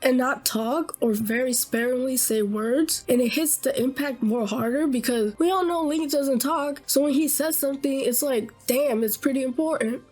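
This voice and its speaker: Woman, high-pitched, slightly hoarse, bubbly, casual webcam vlog. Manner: bubbly, excitable fangirling. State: slightly hoarse after illness. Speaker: gaming YouTuber.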